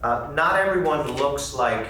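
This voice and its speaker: Man explaining haltingly, very echoey room. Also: a little high, expressive, sharp tone. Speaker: panelist at a fellowship convention. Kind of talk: spontaneous explanation. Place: convention meeting room. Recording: echoey room from far off, faint noise.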